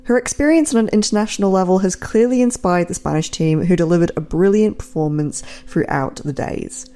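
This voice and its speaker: A woman's voice: on the low side, brisk, warm tone. An English woman hosting a podcast, reading scripted narration between interviews. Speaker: podcast host.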